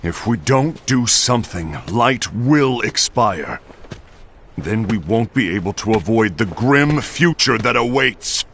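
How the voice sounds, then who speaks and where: An actor playing an earnest, defiant warrior disciple with measured, dramatic intensity in a game cutscene. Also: male voice, on the low side, lively intonation, vocal fry, a bit bright, a little hiss or hum, full character voice. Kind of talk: cinematic game cutscene acting.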